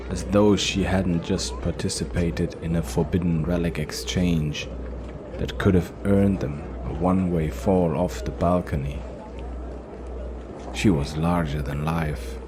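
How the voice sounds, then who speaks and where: A man's voice: deep voice, noisy background. A man reading scripted, dramatic fantasy narration as the storyteller of an audio drama.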